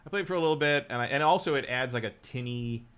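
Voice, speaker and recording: A man's voice: mid-range voice, quick pace, a bit bright, clean tone. A man co-hosting a casual game talk show, rambling with many restarts and fillers while giving blunt opinions. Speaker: podcast co-host. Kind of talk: casual chat about games.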